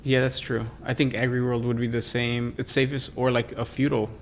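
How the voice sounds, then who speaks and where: A man's voice: medium pitch, level tone, brisk, a little hiss or hum. A man co-hosting a casual podcast about a tabletop war game, easygoing and joking, reading out fan questions. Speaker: podcast co-host.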